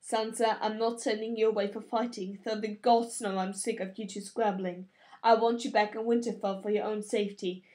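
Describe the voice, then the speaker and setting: Weary noble father, read by a woman, stern yet gentle, grave, measured, fantasy audiobook. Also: medium pitch, fairly even intonation, close to an everyday voice. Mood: frustrated.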